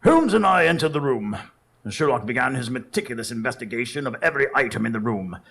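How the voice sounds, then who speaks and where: An actor playing an earnest Victorian doctor who narrates dramatically in a radio drama, with a gravelly, husky voice. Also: male voice, mid-range voice, animated, fast, a bit bright. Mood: solemn narration.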